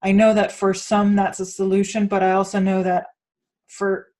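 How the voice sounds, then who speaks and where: A woman from Denmark hosts a conversational podcast interview at a middling pitch. She thinks out loud, circling and rewording as she hunts for the right phrase. Her delivery is hesitant and rambling. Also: completely flat delivery, moderate pace. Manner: hesitant, rambling, searching for words, thinking aloud.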